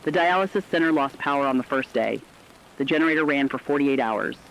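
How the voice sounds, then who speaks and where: A man narrating scripted human stories for a radio broadcast in a solemn, plain-spoken, deliberate manner. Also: medium pitch, expressive, a bit bright, rough recording.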